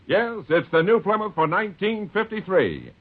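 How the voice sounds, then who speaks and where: A man's voice: high voice, very animated, moderate pace, over loud music. A man reading an upbeat 1950s radio car commercial. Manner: breezy, upbeat. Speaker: commercial pitchman. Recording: phone-like 1950s radio broadcast.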